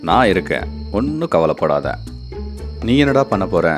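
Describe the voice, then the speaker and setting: A man voicing an excitable, slangy young friend in a cartoon. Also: sits low, moderate pace. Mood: reassuring. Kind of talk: Tamil cartoon dub. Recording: music bed behind.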